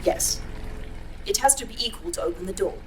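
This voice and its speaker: A woman playing a literal-minded, obliging artificial intelligence in an audio drama, formal and measured. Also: medium pitch, background noise, natural voice. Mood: composed, precise. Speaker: voice actor in a fiction podcast.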